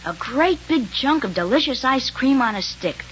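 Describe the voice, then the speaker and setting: A woman acts as an enthusiastic kid in a vintage radio ad. The voice is high and very animated, gushing. The recording is muffled and faintly noisy. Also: moderate pace. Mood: excited. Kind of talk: 1930s radio commercial.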